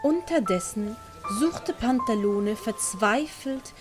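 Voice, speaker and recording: Swiss German woman for children's story narration, fairly high voice over prominent music and effects. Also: lively intonation, slow. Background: dramatic music and sound effects.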